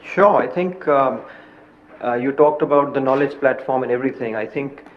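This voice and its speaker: A man on a conference panel giving a measured, explanatory answer. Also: mid-range voice, a little hiss or hum. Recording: dull conference audio.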